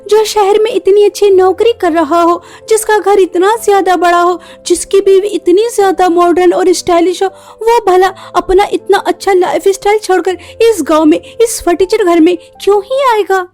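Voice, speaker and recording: A woman's voice: very high voice, steady tone, normal speed, few pauses, darkish tone. A woman voicing a scheming, ungrateful young village sister in a cartoon story, dismissive and persuasive. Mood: scornful. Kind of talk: Hindi cartoon story voice acting.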